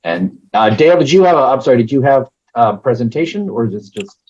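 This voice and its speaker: A hoarse man hosting an online meeting, chatting in a rambling way with lots of fillers. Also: medium pitch, expressive, fast, a little mellow. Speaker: meeting host. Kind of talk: casual meeting opener. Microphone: computer mic on a video call. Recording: dull, muffled video call audio.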